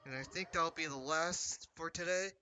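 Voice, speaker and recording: A man's voice: fairly high pitch, normal speed, pushed and bright, clear, resonant tone. A man giving casual live commentary as he plays and streams a video game.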